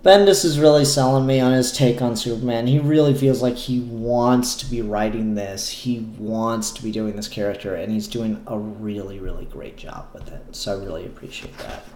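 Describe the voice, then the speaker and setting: A man's voice: mid-range voice, moderate pace, hardly any pauses, darkish tone, reverberant. A man giving casual, opinionated review commentary on comic books in a rambling, enthusiastic way.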